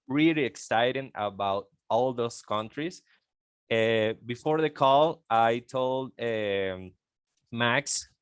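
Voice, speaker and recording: A Venezuelan man in his thirties, Spanish-native, talks slowly through a call presentation in a clear, smooth voice. The sound is dry and close. He halts, repeats words and keeps tagging "okay?". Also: mid-range voice, no room sound. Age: 30s. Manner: halting, repeats words, frequent "okay?" tags. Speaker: guest speaker on an online call.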